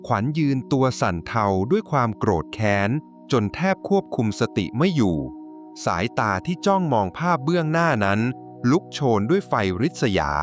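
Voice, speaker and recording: A man narrating a folk tale from a script in a dramatic, suspenseful, expressive way. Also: mid-range voice. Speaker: storyteller. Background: music.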